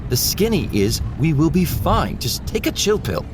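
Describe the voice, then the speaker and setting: A man's voice: fairly high pitch, big pitch swings, normal speed, background noise. A man playing a sleazy airline passenger who flirts smugly with the flight attendant. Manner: smug, leering. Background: music.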